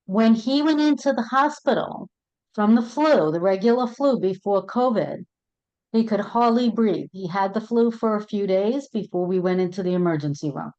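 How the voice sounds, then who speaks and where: A middle-aged woman with a Brooklyn accent talks earnestly in a casual conversation, often repeating words as she finds her thought. Her voice sits at a medium pitch. The audio is muffled and lo-fi. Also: lively intonation, moderate pace, a little mellow. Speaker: end-of-life doula, co-host. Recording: dull lo-fi audio.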